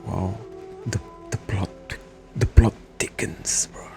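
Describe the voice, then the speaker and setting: A man's voice: slow pace, music in the background. A young man giving casual gameplay commentary in short, slangy, murmured reactions.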